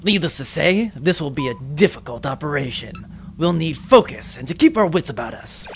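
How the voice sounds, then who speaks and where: A man playing an earnest, self-important spaceship second-in-command who gives brisk orders in a science fiction comedy audio drama. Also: on the high side, very animated, faint music behind. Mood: urgent, commanding.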